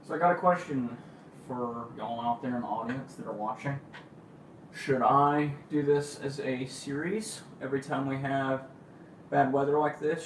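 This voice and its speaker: A man's voice: mid-range voice, slight background noise, low-quality recording. A man hosting an amateur livestream in a casual, informal way, thinking aloud as he goes. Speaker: amateur storm-watch livestream host. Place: a room at home.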